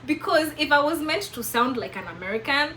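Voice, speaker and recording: A woman's voice: high voice, lively intonation, a little fast, heavy background noise. A woman in her twenties with a Kenyan accent, telling a personal story to camera in an emphatic, preachy way. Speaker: vlogger.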